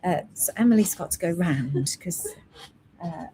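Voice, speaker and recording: A woman's voice: on the low side, animated, measured pace, warm tone, rough recording. A woman hosting a live stream selling gemstone beads, chatting casually and reading out product codes and prices. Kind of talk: casual live sales chat.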